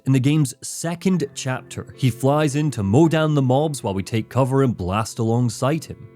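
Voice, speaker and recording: A man's voice: mid-range voice, darkish tone. A man delivering scripted narration for a critical video essay, with dramatic, emphatic phrasing. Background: background music, guitar.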